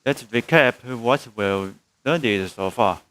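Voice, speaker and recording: A man's voice: lowish voice, animated, slow, background noise. A man live streaming a video game, reading and commenting casually in short muttered fragments.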